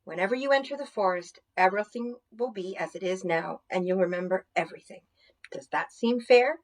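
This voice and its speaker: A woman voicing a stern but forgiving motherly spirit of nature in a children's storybook, measured and commanding. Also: mid-range voice, natural voice. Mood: gentle, firm.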